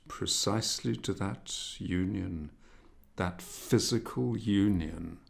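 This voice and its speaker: A man narrating a literary novel as an audiobook, in a measured, expressive, dramatic reading manner. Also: sits low, gravelly.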